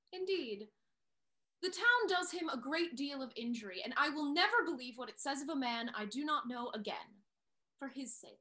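A woman playing a sharp-tongued, secretive young lady in love, delivered dramatically in a Restoration comedy reading. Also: on the high side. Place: indoor home room on a video call.

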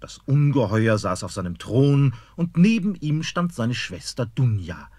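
A man narrating a fairy-tale radio play in an expressive storytelling manner. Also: mid-range voice, a little slow, dry recording.

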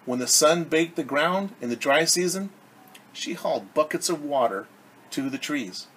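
A man's voice: a little high, moderate pace. Middle-aged man reading aloud, noisy room. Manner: expressive, explaining simply to young children. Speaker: teacher reading a story to children. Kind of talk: read-aloud storytelling with asides explaining words. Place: indoors in a home living room. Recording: clear background room noise.

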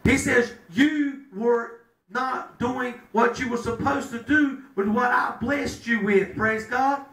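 A middle-aged man preaching a sermon at a pulpit, breaking into shouts and drawing call-and-response from the room. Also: very high voice, steady tone, slow. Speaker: pastor. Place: small chapel room with a pulpit. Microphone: handheld mic, filmed by a far camera. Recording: echoey room from far off.

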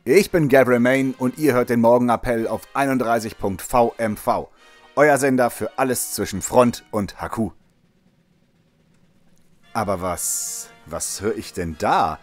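A man's voice: mid-range voice, a little slow, soft background music, lightly acted. A man playing a peppy, jovial propaganda host of a military morning radio show, with showy, chummy presenter patter. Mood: rousing, brisk. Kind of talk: radio show moderation in audio drama.

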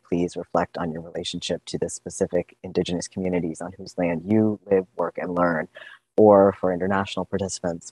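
A man with a very deep voice and a breathy, husky texture. He delivers earnest, prepared opening remarks over a video call. The sound is close and dry. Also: darkish tone, no echo, low-quality recording. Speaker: workshop facilitator.

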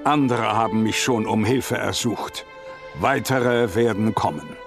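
A man playing a stern, aloof oracle of the mists in a video game, solemn, gruff and disdainful. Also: lowish voice, a little slow, over music. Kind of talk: scripted video game dialogue.

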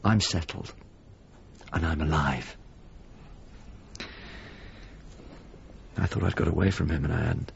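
Deep-voiced, melancholy painter. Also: male voice, normal speed, vocal fry, lo-fi sound, slight background noise, heavily acted. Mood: wistful, troubled. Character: Mario, melancholy haunted painter, a grown man. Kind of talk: naturalistic radio drama acting and monologue.

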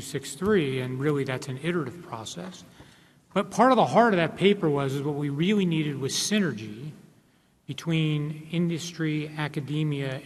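Guy in his 40s at a panel table, earnest, keeps restarting, slightly high voice, big echoey hall. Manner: earnest, explanatory, with frequent restarts. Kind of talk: panel discussion remarks. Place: large conference hall, on stage at a panel table. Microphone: table microphone. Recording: long reverb of a large hall.

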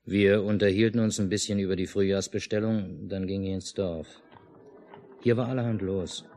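A man's voice: deep voice, steady tone, normal speed, dark tone. An actor playing a stubborn, unruffled village family man and narrator in a 1950s German radio drama. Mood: calm, narrating. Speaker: radio play lead and narrator. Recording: noisy mono 1950s radio recording.

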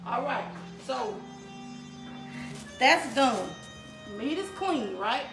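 A woman in her thirties talks to her viewers as y'all while she cooks, unhurried and folksy. Her voice sits high with a strong gravelly fry. The kitchen sounds echoey, and music plays behind her. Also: expressive, a bit bright. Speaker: cooking vlogger. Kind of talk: casual cooking vlog talk to viewers. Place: home kitchen, indoors. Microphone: camera on the counter, moved around.

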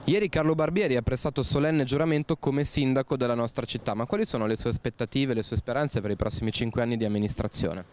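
A young man, a street reporter asking brisk, direct questions. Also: mid-range voice, a little mellow, slight background noise. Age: young adult.

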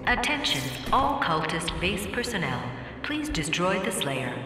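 A woman's voice: on the low side, big pitch swings, a little slow. A woman voicing an impassive base announcement system in a video game, speaking politely and formally over a public address. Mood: formal, insistent. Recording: distant echoing announcement, loud music.

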